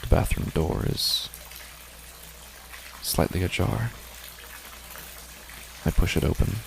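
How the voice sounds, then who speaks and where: A man's voice: sits low, vocal fry, noisy background. A man hosting and narrating a scripted horror story. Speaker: podcast host and narrator.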